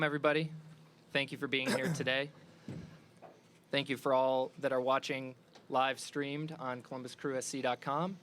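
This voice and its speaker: A man's voice: medium pitch, a bit bright. A man acting as master of ceremonies makes formal announcements and introductions at a press conference. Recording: faintly noisy live venue ambience.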